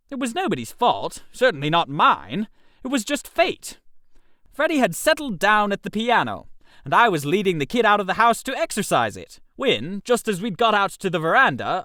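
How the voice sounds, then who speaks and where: A man narrating a comic first-person story in a chatty, expressive way, in character as an idle gentleman. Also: high-pitched, dry recording, clean studio sound.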